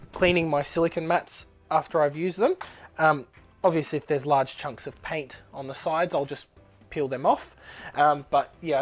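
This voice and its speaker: A man with a blocked-up nose, narrating a casual craft tutorial as he works, chatty and easygoing, with plenty of ums and uhs. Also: on the high side. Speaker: resin artist demonstrating a project.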